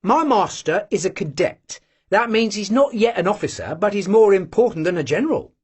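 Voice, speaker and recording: A man voicing a cheerful, boastful young male servant who talks good-naturedly and proudly, in a husky voice. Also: high-pitched, wildly swooping pitch, a little slow, pitched above the performer's own voice, exaggerated voice.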